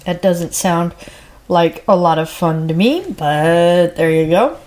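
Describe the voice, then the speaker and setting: A woman's voice: lowish voice, takes it slowly, a little mellow. A woman hosting a casual solo podcast, a little stuffy from a head cold, chatty and rambling with lots of fillers. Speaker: podcast host.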